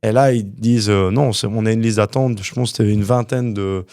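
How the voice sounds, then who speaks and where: A young man from Canada, a guest entrepreneur telling his story in a casual interview, animated and full of fillers. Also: on the low side, a little fast, no echo, clean studio sound. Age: young adult.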